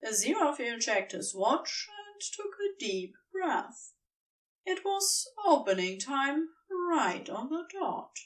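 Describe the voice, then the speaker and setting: A woman's voice: sits high, big pitch swings, takes it slowly, recorded from a distance. A woman reading scripted fiction as a storybook narrator.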